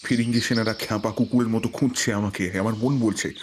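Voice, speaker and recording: A man playing a hunted, short-tempered bandit father who speaks haltingly and repeats himself in an audio story. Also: fairly low pitch, steady tone, close to an everyday voice. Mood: agitated, evasive. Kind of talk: Bengali audio story acting. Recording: noisy birdsong ambience behind.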